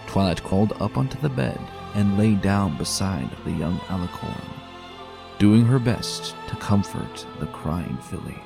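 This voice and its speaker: A man narrating a dramatic fan fiction reading in a calm, measured way. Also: fairly low pitch, creaky. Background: faint music.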